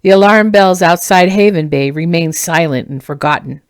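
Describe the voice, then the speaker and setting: Female author-narrator for fantasy audiobook. Slightly low pitch, dry close sound, expressive scripted read. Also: normal speed, clean tone, no echo. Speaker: author reading own novel.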